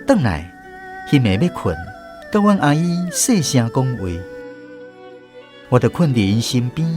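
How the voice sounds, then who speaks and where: Expressive male radio drama narrator. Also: medium pitch.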